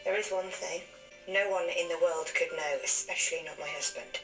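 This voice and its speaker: A woman's voice: lowish voice, steady tone, brisk, natural voice. An actress playing a spirited, defiant young married woman who speaks earnestly and firmly. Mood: eager. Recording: roomy, distant, music under.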